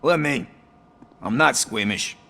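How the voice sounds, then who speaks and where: An actor playing a cold, cynical, hardened man in a video game, with a gravelly voice. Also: mid-range voice, lively intonation, slow pace, bright, forceful tone, in a large hall, exaggerated voice. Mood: grim, cynical.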